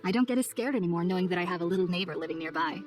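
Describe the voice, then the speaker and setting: A woman playing an earnest, polite young scholar in a video game, speaking in a formal, measured, articulate way. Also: on the low side, music in the background, lightly acted. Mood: calm confiding. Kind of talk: video game cutscene acting.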